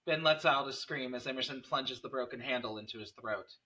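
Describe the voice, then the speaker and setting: A man's voice: medium pitch, fairly quick, pushed and bright, recorded from a distance. A man reading stage directions as scripted narration at a screenplay table read.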